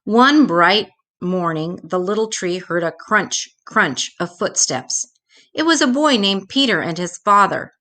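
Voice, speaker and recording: A woman reading a children's storybook aloud expressively, with dramatic pauses. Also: medium pitch, animated, bright, forceful tone.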